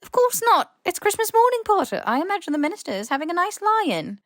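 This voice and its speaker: A woman voicing a stern, shrewd older school headmistress in an audiobook, with crisp, formal phrasing and dry exasperation. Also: extremely high pitch, big pitch swings, fast talker, dry recording, voice pushed up. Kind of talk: audiobook character voice.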